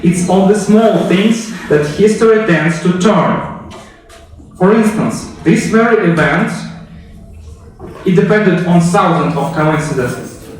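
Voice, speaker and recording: A man with a fairly high voice speaks publicly in a storytelling style. He addresses listeners directly, drawing them into the scene with rhetorical questions. The sound is heavily reverberant and picked up some way from the microphone. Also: slow. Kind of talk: storytelling speech with dramatic second-person narration. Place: echoey indoor room, recorded from a distance. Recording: very echoey room, distant microphone.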